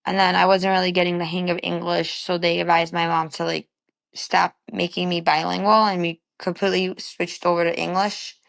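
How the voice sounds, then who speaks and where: A woman's voice: fairly low pitch, flat delivery, fairly quick, gravelly, fairly bright tone, rough recording. A young woman from the Bronx with a New York accent, rambling casually as an interview guest and filling her talk with "like". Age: young adult. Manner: rambling, many fillers like "like".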